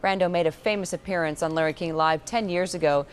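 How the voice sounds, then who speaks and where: A woman's voice: lowish voice, steady tone, fast talker, a little hiss or hum. A woman presenting a scripted news item.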